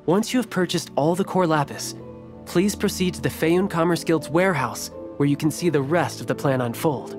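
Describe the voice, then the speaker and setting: A man's voice: fairly high pitch, moderate pace, music in the background, natural voice. A man voicing a composed trading guild agent in a video game, giving instructions. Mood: calm. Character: male trading guild agent, calm and businesslike.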